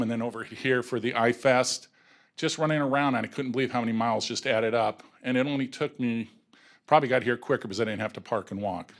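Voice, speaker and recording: Earnest local man, cycling advocate, public comment at council. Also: mid-range voice. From local resident of the city. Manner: earnest, proud, loosely structured. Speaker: bicycle advocacy group representative. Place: city council chambers.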